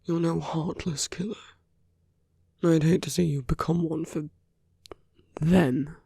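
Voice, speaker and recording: A man voicing a charming, flirtatious villain with a tender side in an intimate ASMR roleplay, soft and teasing, often dropping to a whisper. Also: fairly high pitch, steady tone, slow, vocal fry, slightly rough, no echo, studio quality, full character voice. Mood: earnest, gentle.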